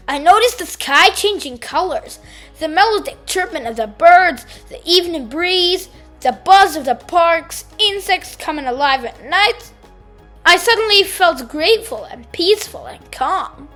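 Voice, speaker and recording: A woman reading a children's story aloud in an expressive, deliberate storytime manner. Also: very high-pitched, faint music behind. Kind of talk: scripted read-aloud narration for children.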